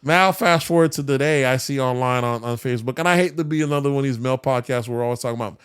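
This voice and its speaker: A man's voice: mid-range voice, rapid-fire, clear, resonant tone. A man co-hosting a casual podcast, giving his opinions in a slangy, profane, rambling way. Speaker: podcast co-host.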